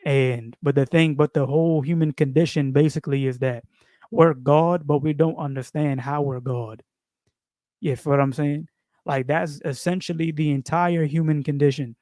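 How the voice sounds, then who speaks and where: Give me a man, rambling casually about spirituality, full of fillers, fairly high-pitched, recorded dry and close. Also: steady tone, normal speed, mellow, no room sound. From New York. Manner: rambling, repeats phrases, frequent fillers and slang. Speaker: video creator.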